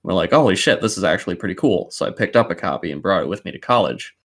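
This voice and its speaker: Low-pitched man, casual sweary podcast chat. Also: lively intonation, quick pace, a bit bright. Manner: sweary, blunt. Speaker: podcast co-host. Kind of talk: casual chat, telling anecdotes.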